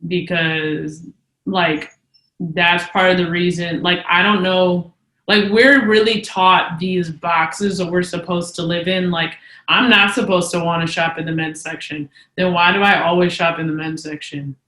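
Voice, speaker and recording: A woman's voice: fairly low pitch, fairly even intonation, a little slow, a bit bright. Candid young woman, echoey room. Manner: candid and emphatic, peppered with "like". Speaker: podcast co-host. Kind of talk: casual podcast chat.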